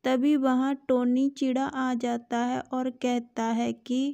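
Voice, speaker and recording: A woman's voice: high voice, completely flat delivery, slow, vocal fry, dark tone, smooth, no room sound. A woman narrating a scripted children's story.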